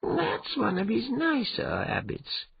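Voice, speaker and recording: A man's voice: high-pitched, wildly swooping pitch, slow pace, vocal fry, slightly rough, dull audio, pitched above the performer's own voice, full character voice. A man voicing a knowing, cautioning female cat companion in a fantasy storybook. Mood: urgent caution. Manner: urgent, cautioning.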